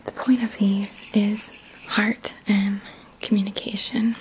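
A woman in her thirties whispers gently and soothingly in a halting, breathy voice, hosting an ASMR guided healing session up close to the mic. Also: mid-range voice, level tone. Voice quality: breathy whisper, mostly unvoiced. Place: small quiet indoor room. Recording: faint background noise.

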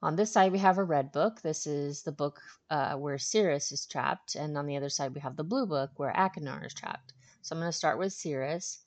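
A woman hosting a casual game walkthrough, speaking with hesitant pauses mid-sentence. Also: deep voice. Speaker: walkthrough host.

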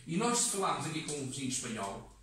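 Man, fifties, European Portuguese accent, lecturer, halting, fairly high voice, echoey room, far mic. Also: sing-song, fairly bright tone. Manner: halting, many fillers and restarts. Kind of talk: classroom lecture. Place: community classroom with seated audience. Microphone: far camera in the room.

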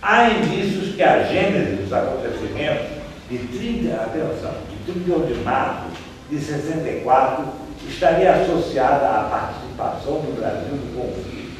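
An older Brazilian man giving a seated, conversational history lecture, pausing at times to search for names. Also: on the high side, lively intonation, far from the mic, slight background noise. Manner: conversational, gestures as he talks, trails off searching for names. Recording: echoey room, voice from off-mic.

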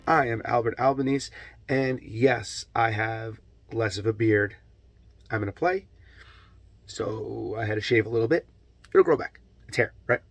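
A man in his thirties hosting a casual toy collecting vlog in a relaxed, chatty way with plenty of fillers, filmed at home on a camera held at arm's length. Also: mid-range voice, unhurried, long pauses. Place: home toy room, in front of green screen.

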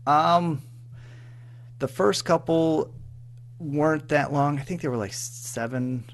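A man speaking as an interview guest in casual chat, hesitant, halting and self-effacing as he talks about his own work. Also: fairly high pitch. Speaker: guest, audio drama writer and producer.

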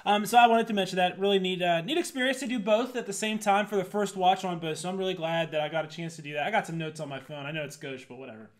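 A man's voice: high voice, fast, few pauses. An American man in his thirties co-hosting a casual movie review in a jokey, playful, colloquial way. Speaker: podcast co-host reviewing films. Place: indoor room with projector screen. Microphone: tabletop condenser mic between the two hosts.

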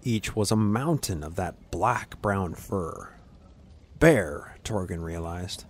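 A male narrator with a fairly low voice reads scripted fantasy fiction. His delivery is measured and dramatic, and he leaves deliberate pauses between phrases as a storyteller would. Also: slight background noise.

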